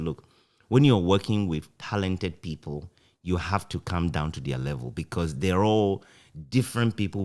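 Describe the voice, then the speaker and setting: Low-voiced, animated Ghanaian man. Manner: animated, chuckles often while recounting anecdotes. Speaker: interview guest, former radio broadcaster. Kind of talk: casual reminiscing interview chat.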